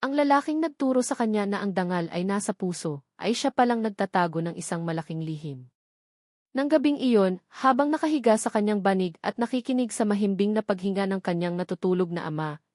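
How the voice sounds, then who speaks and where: A woman's voice: medium pitch, a little mellow, dry recording. Filipino woman narrating, dry close recording. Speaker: story narrator. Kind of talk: scripted dramatic narration of a love story.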